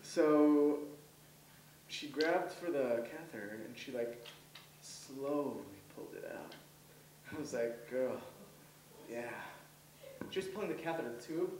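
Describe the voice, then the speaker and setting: A man's voice: mid-range voice, lively intonation, takes it slowly, pauses often, darkish tone, a little hiss or hum. Nervous young man doing stand-up in a roomy space. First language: Hebrew. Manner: rambling, hesitant with many ums, laughs at own jokes. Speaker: stand-up comedian. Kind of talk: first-time stand-up set. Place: comedy event stage. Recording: roomy, voice from far off.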